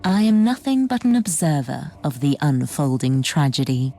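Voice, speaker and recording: A very low-voiced alien harlequin woman, serene and superior, with a mellow tone. She speaks slowly and theatrically in riddles for a video game, over faint background noise. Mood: cryptic, grave. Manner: slow, riddling, condescending. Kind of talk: dramatic video game acting.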